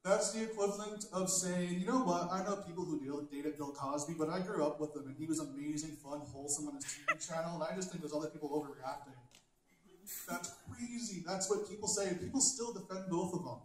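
A young man with a fairly high voice delivers a stand-up set with blunt, dark humor. His handheld mic rings through a big wood-panelled hall, heavily echoey, with a faint hiss under it. He sounds as if recorded by a camera at the back. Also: a little fast. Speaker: stand-up comedian. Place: large wood-panelled hall with a small stage.

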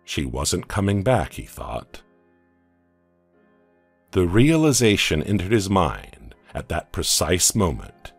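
A man tells a dark tale in a measured, dramatic narration. His voice sits very low yet moves through a wide, expressive pitch range. A slightly husky grain colours every line, over faint background music. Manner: slow, dramatic storytelling with hushed drops. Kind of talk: scripted audiobook narration.

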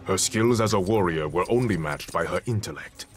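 Deep grave superhero king done by a male actor, telling his quest all formal n measured, video game, music behind. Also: close to an everyday voice. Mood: solemn, proud. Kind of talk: scripted dramatic game narration.

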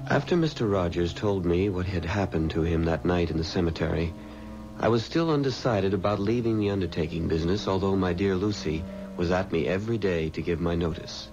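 An actor playing a determined, earnest undertaker's assistant in a 1970s radio drama. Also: male voice, low voice, a little fast, music in the background. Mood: earnest, measured. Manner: earnest, resolute. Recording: muffled, noisy old radio recording.

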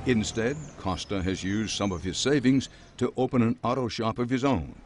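Low-voiced male news narrator. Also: normal speed, slight background noise. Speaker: reporter.

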